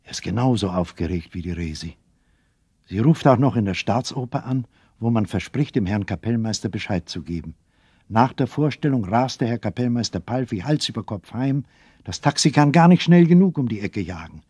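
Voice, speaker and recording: Male story narrator for radio play: slightly deep, husky, dramatic, close and dry. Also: expressive, normal speed, mellow, no echo.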